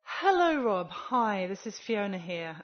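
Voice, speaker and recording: A woman's voice: mid-range voice, sing-song, unhurried, dull audio, faint music behind. A woman asking prepared interview questions as a guest who sends in a recorded message.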